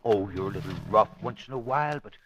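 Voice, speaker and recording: A man's voice: fairly low pitch, lively intonation, quick pace, natural voice. An actor playing a doting, eerie zookeeper who croons and chuckles to his animal in an old radio drama. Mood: fond, gleeful. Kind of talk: 1940s radio drama acting. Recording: noisy, muffled old radio recording.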